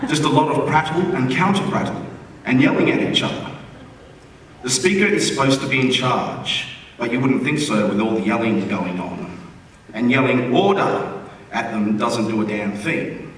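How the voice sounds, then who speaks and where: A man's voice: mid-range voice, normal speed, fairly bright tone. Man, Australian accent, wry live reading, conversational, long hall reverb, distant mic. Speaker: reader at a theatre forum. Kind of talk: reading a written humorous postcard aloud to an audience. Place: large indoor theatre forum venue. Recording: echoey hall, distant mic, room noise.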